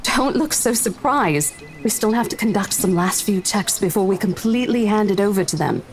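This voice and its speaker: An actress playing a brisk, matter-of-fact senior female office colleague in a visual novel. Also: mid-range voice, slight background noise, natural voice. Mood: confident. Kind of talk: visual novel character acting.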